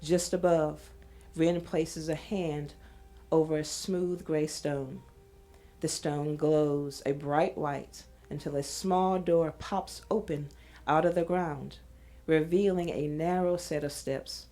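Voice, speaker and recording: A woman's voice: low-pitched, steady tone, slow, dark tone, soft background music. A woman reading scene directions as a scripted narration.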